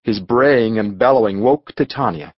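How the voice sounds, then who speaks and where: Male audiobook narrator, husky, lively intonation, dramatic storytelling, dry close sound, slightly dull recording. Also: fairly low pitch, normal speed, dry recording.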